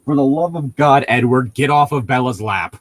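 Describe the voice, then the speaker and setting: A man with a mid-pitched voice, recorded close and dry. He talks like a co-host in a casual critical chat, rambling and exasperated, often stopping to rephrase his point. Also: moderate pace, no room sound. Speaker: co-host reading and mocking a novel. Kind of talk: casual critical chat with read-aloud lines.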